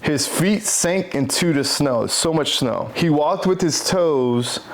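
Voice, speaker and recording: A man's voice: on the high side, slow. An American man from Philadelphia co-hosting a children's read-aloud, enthusiastic and reassuring, repeating phrases in chatty asides. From Philadelphia, United States. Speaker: co-host reading a children's picture book.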